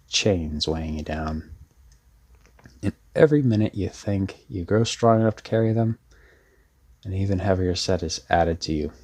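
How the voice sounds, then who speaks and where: Casting: male actor for a deep-voiced, laid-back young male rebel, self-deprecating and wry, rambling delivery, roleplay audio drama. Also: heavily acted. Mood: reflective. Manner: rambling, wry, sardonic, casual.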